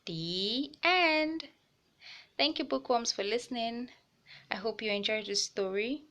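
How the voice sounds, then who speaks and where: A woman hosting a children's read-aloud, narrating a storybook with deliberate phrasing for young listeners. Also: on the high side, a bit bright.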